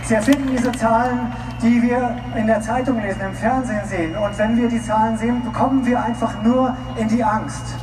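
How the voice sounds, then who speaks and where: Male rally interpreter, shouting lines back, echoey outdoor sound with music behind. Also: very high voice, steady tone, fairly quick, few pauses. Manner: loose, rephrasing, at times shouting. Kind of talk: live consecutive interpreting at a rally. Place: outdoor stage at a large street demonstration. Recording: distant echoey outdoor recording with crowd and music.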